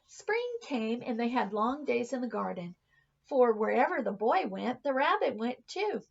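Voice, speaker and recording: Female storybook reader, expressive teacherly narration, gravelly fry in the voice, slightly muffled lo-fi sound. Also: a little high, measured pace. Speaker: teacher reading a children's story aloud.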